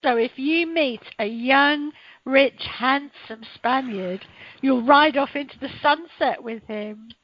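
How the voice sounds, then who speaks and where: Gossipy, dryly teasing holidaymaker woman acting, unhurried, dull phone-line sound, amateur radio comedy. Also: sits high. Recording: dull telephone conference line.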